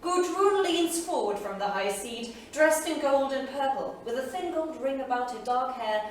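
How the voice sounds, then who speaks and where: An actress in a staged reading, playing a proud, earnest heroine in a solemn, dramatic manner. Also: female voice, high-pitched, lively intonation, normal speed. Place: theatre studio stage, far microphone. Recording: distant echoey theatre studio, faint noise.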